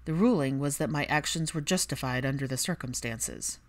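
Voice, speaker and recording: A woman voicing a grim, unapologetic man in audiobook dialogue, with a deadpan, clipped drawl. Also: rumbling low, normal speed.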